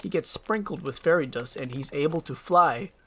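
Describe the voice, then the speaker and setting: A man's voice: on the high side, animated, moderate pace, fairly bright tone, slight background noise. A man reading a screenplay aloud as storybook narration.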